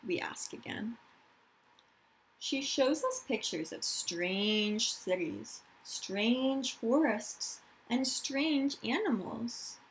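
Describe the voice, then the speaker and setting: A woman's voice: on the high side, slight background noise, low-quality recording. A woman, a teacher of young children reading aloud and explaining things simply, stressing key words. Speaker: elementary school teacher.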